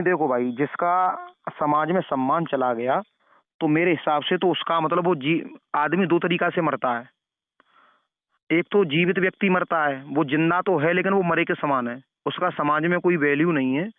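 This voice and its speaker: A man's voice: on the high side, moderate pace, no echo. A man preacher giving elder-brotherly, moralizing advice in a phone conversation, repeating his points for emphasis. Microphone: phone call. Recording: narrow tinny phone call recording.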